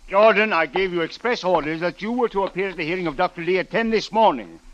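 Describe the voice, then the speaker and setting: A man's voice: sits high, expressive, fast, background noise. A man playing a formal, exasperated Egyptian police captain in a 1940s radio drama, using stiff, precise phrasing. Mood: indignant. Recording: dull old radio broadcast.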